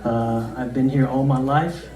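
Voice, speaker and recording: A man in his fifties from Kansas talks in a mellow, dark voice, plain-spoken and halting, full of "uh" and "you know." His campaign remarks sound echoey and far from the mic. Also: medium pitch, steady tone. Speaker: local candidate. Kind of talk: campaign speech. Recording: roomy, distant recording.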